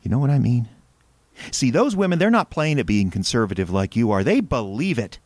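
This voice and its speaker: A man's voice: mid-range voice, sing-song, brisk, a little mellow, no echo. A man playing a callous, domineering husband in an audio drama, with an irritable manner. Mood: menacing. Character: menacing, abusive husband, gruff and callous. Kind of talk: naturalistic audio drama acting.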